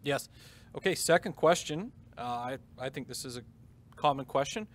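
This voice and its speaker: A man moderating a webinar panel, reading audience questions in a brisk, upbeat way. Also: medium pitch, fairly bright tone. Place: stage with dark curtains.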